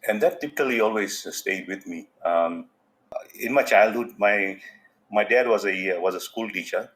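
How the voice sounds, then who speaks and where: A man's voice: on the low side, moderate pace, a bit bright, low-quality recording. A man from Fiji, a podcast guest, talking casually and rambling with lots of "you know" fillers. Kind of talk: casual interview talk.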